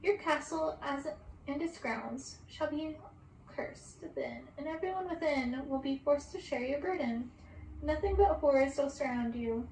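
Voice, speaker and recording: Storybook enchantress, acted by a female reader: cold, solemn, menacing, high-pitched, slightly distant. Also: measured pace, a little mellow. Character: stern, cold, angry enchantress laying a curse. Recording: distant roomy mic with background hiss.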